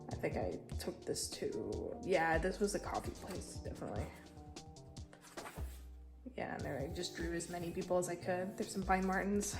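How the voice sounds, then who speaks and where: A young woman with a congested, stuffy voice, casually touring her sketchbook in a tired, low-key way and swearing now and then. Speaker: artist showing a sketchbook.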